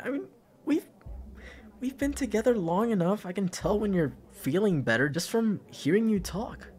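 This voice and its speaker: A young man playing a caring, teasing, shy best friend in an intimate ASMR roleplay, speaking softly and hesitantly. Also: fairly high pitch. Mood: amused, affectionate. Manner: soft, hesitant, stammering, breathy sighs and chuckles.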